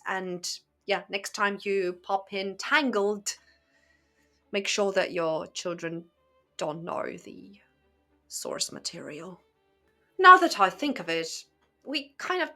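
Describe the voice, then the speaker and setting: A woman's voice: mid-range voice, fairly bright tone. A woman giving casual commentary to viewers as a host, talking in a hesitant, wry way with rhetorical asides. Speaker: host of a book and fairy tale commentary channel.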